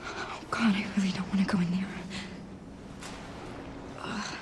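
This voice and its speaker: An actress plays an anxious young woman in a horror game. Her voice is breathy and fearful, with slow, halting delivery. Very loud game noise surrounds it. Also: medium pitch, steady tone, lightly acted. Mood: frightened whisper. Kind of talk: video game voice acting. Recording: loud noise over distant game audio.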